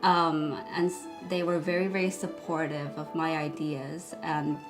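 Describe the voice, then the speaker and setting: A young woman from South Korea, speaking softly and reflectively as a fashion designer in a documentary interview. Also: sits low, little pitch movement, a little slow, over music.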